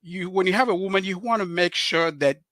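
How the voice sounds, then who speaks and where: Middle-aged guy w/ cameroonian french accent, pitch a bit high, lots of uh and restarts, dry sound. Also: a bit bright, no room sound. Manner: earnest, halting with many fillers and restarts. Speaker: author and guest. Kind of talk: live show interview.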